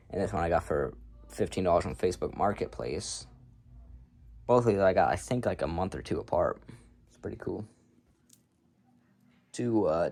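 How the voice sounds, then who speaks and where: Casting: man, low-pitched, casual hobbyist vlogger, offhand and self-deprecating delivery, unscripted rambling. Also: darkish tone. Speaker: hobbyist showing a radio collection. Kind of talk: casual unscripted show-and-tell.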